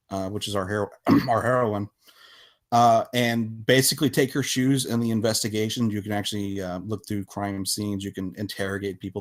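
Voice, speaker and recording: A man with a fairly low voice talks casually as an interview guest. His phrasing is halting and hesitant, in the relaxed register of a podcast conversation about his own work. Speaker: podcast guest, visual novel developer.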